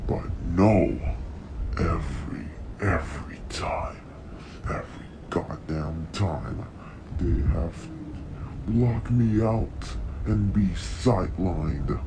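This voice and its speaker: A forgotten cartoon character voiced by a man speaks in a low register at an extremely slow, halting pace. He is self-pitying and bitter, with a menacing edge. The amateur recording carries clear background noise. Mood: miserable, bitter. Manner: halting, sighing, self-pitying with threatening outbursts. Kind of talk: character voice lines. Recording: noisy amateur home recording.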